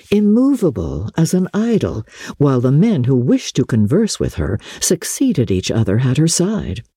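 A woman reads classic fiction as a storyteller, with a husky, mellow voice. Her delivery is measured and dramatic, with a widely swinging melody. The sound is close and dry. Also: low voice, no echo. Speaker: audiobook narrator and radio presenter. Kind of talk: scripted dramatic reading of a classic novel.

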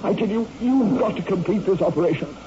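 An actor playing a tormented, highly strung surgeon in a 1960s radio horror drama, dramatic and moving between hushed and agitated speech. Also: male voice, lively intonation, fairly quick. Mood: panicked, trembling. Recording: muffled radio recording with heavy noise.

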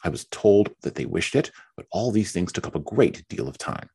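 A man reading a novel aloud, voicing a patient, sensible young woman who answers calmly and soothingly. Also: sits low, moderate pace, creaky, breathy edge, pitched above the performer's own voice, exaggerated voice. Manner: calm, soothing.

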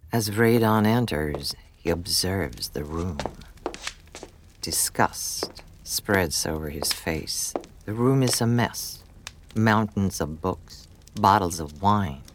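A man narrating a scripted audio drama in the present tense, in a measured, dramatic storytelling manner. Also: sits low, background noise.

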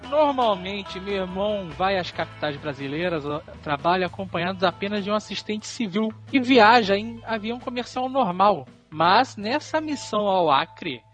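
A man's voice: high-pitched, lively intonation, moderate pace, hardly any pauses, fairly bright tone, faint music behind. A Brazilian man co-hosting a podcast, telling stories and bantering in a colloquial, mock-serious way. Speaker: podcast co-host. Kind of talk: casual storytelling and banter.

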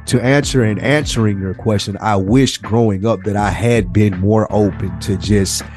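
A man's voice: fairly low pitch, moderate pace, darkish tone, soft background music. A man tells stories in casual, slangy podcast chat, acting out what other people said. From Nashville, United States. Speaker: podcast co-host.

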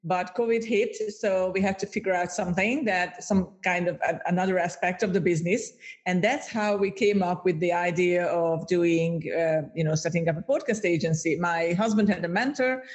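A woman's voice: fairly low pitch, steady tone, moderate pace. A woman from Hungary, a native Hungarian speaker with a Hungarian accent, talking upbeat and searching for words as a guest from her home office. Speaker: podcast agency owner, guest. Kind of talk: casual remote interview.

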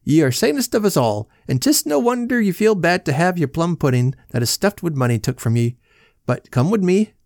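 A good-natured Irish policeman, voiced by a male reader, soothes in a lilting, raised voice. His brogue rolls along with a gravelly rasp. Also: fairly high pitch, sing-song, a little fast, darkish tone, no room sound, clean studio sound, pitched above the performer's own voice. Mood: humoring. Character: jovial Irish police officer, humoring.

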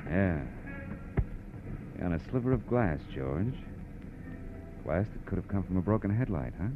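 An actor playing a hard-boiled, wisecracking American private detective, brusque and clipped, in 1940s radio drama style. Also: male voice, deep voice, animated, normal speed, background noise. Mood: guarded, suspicious. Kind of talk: 1940s radio detective drama acting with first-person narration. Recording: muffled vintage radio recording with music.

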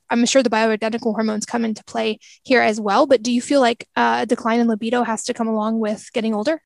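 A woman hosting a podcast, asking interview questions in a friendly, measured way. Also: on the high side, vocal fry, no room sound. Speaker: podcast host.

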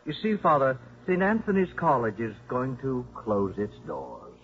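An actor playing a blunt, impatient church official with a brusque, formal manner in a 1950s radio drama. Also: male voice, medium pitch, huge pitch swings, normal speed. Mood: stern, disapproving. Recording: muffled 1950s radio, faint noise.

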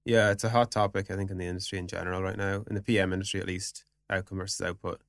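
A man's voice: sits low, little pitch movement, rapid-fire, vocal fry, dry recording, studio quality. A man speaking as a podcast guest in an informal, explanatory way, in casual business conversation peppered with filler words like "you know". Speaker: podcast guest, product executive.

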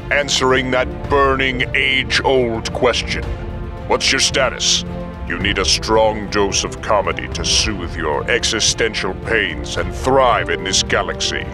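A man's voice: medium pitch, unhurried. A man reading a scripted podcast promo with a dry, wry delivery. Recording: music bed under the voice.

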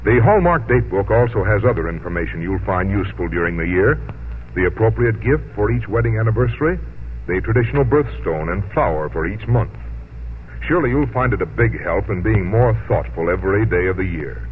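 A man's voice: fairly low pitch, expressive, brisk. An American man announcing on 1950s radio, reading a sponsor message in a folksy, friendly sales style. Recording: tinny, lightly noisy vintage radio broadcast.

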